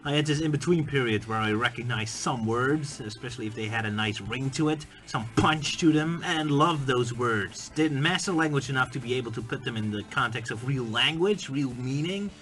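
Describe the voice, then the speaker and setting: Bright-voiced man musing casually. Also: medium pitch, moderate pace, hardly any pauses, poor audio. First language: Dutch. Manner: musing, conversational, bursts into playful mock singing. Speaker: video essay host. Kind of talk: casual spoken commentary.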